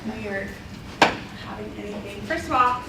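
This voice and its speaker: A woman whose first language is Spanish, from Idaho, telling her story to an audience in a relaxed way with many ums. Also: mid-range voice, moderate pace. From Idaho, USA. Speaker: panelist, ESL instructor. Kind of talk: personal talk to an audience. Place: college symposium hall. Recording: echoey hall, mic far off, noisy.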